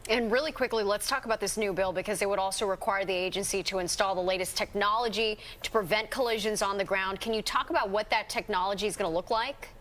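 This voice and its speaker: Woman, American accent, medium pitch, TV news anchor, presenting and interviewing. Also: fast talker, hardly any pauses, fairly bright tone.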